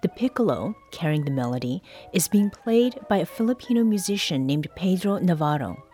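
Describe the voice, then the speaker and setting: A woman hosting a public radio interview program, reading a measured scripted introduction. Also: on the low side, very animated, mellow, soft background music. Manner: measured scripted introduction, then brief listening responses.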